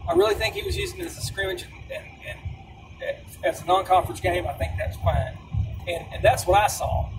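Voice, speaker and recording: High-voiced man, distant in a noisy car. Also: huge pitch swings, a little fast, gravelly. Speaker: companion on a road trip. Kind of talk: casual chat. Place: inside a moving car. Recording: distant mic, loud car and road noise.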